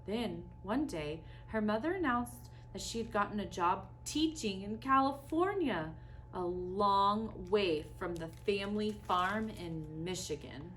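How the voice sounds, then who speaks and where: A woman reading a children's story aloud expressively, with deliberate pauses and dramatic emphasis. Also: medium pitch, lively intonation, fairly bright tone, a little hiss or hum.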